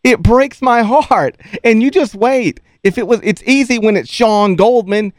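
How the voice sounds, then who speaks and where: High-voiced male sports radio host, rambling storyteller, dry studio mic sound. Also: measured pace, no echo. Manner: rambling storyteller who laughs often. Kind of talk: sports talk radio banter.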